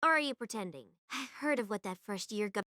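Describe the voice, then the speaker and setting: An actress playing a hot-tempered, scornful young military student in an audio drama, confrontational and accusatory. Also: female voice, mid-range voice, animated, quick pace, sharp tone, dry recording, studio quality. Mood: scornful, accusing. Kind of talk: anime-style audio drama acting.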